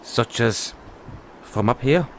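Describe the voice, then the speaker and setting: A man playing a free-spirited, kindly dragon rider and mentor in an audio roleplay, musing and unhurried with pauses. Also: medium pitch, lively intonation, a little hiss or hum. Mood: proud, carefree.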